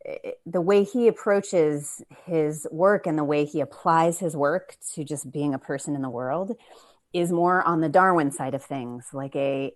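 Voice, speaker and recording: A woman speaking as a playwright on a panel over a video call, expressive and emphatic, with asides and rhetorical questions. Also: low voice. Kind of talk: animated conversational panel talk.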